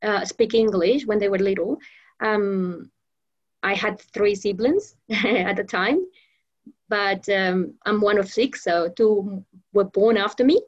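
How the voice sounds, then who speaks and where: A woman's voice: mid-range voice, slow, dull audio. A woman from Venezuela whose first language is Spanish, a professional trainer being interviewed, talking with many fillers and restarts. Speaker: guest, professional trainer and mentor. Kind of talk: interview conversation.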